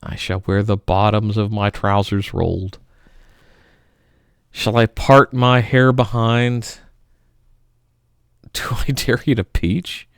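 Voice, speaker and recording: A man giving a theatrical, melodramatic poetry reading, swinging between loud outbursts and hushed murmurs. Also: fairly low pitch, smooth, no room sound, clean studio sound. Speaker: poetry reader.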